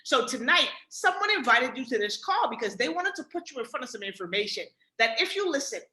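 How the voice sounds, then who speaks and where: Bright Bahamian woman pitching on a video call. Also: fairly quick, reverberant. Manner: energetic, repeats "right?" and "guys". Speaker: webinar presenter. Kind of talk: motivational sales pitch.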